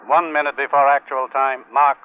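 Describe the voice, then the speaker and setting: An actor playing a clipped, impersonal military announcer calling an atomic test countdown in a 1950s radio drama. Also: male voice, mid-range voice, level tone, normal speed, smooth, natural voice. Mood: stern, procedural. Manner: clipped, formal, repeating commands. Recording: muffled old radio broadcast recording.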